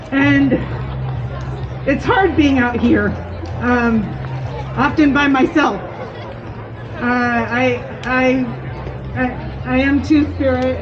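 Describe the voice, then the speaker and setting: Halting, high-voiced rally speaker. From Fort Peck, Montana, United States. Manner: halting, with frequent restarts and fillers. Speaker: scientist and activist speaker. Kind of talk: personal speech at a rally. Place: outdoors at a street protest. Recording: dull lo-fi outdoor recording. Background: crowd and music.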